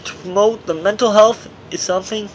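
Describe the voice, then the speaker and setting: Higher-pitched man narrating, noisy recording. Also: fairly even intonation, fairly quick, dull audio. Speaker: author reading own memoir. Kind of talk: scripted audiobook narration.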